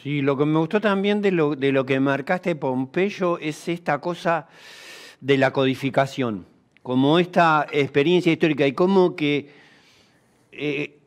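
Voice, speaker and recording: Male interviewer, slightly high voice. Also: measured pace. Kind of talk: conversational interview.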